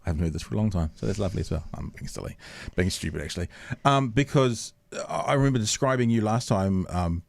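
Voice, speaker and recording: A man with a deep, low voice hosts a radio interview in a loose, rambling way. He pokes fun at himself and restarts his sentences often. The sound is close and dry. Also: expressive, fast talker, vocal fry, darkish tone, no echo. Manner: rambling, self-deprecating, many restarts. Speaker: radio host. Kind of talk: casual interview chat. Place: radio studio.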